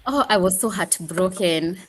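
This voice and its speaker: Earnest low-voiced woman teacher. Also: a little slow, a bit bright, breathy edge. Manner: earnest, storytelling with quoted speech and repeats. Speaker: Swahili teacher, guest. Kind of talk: interview answers.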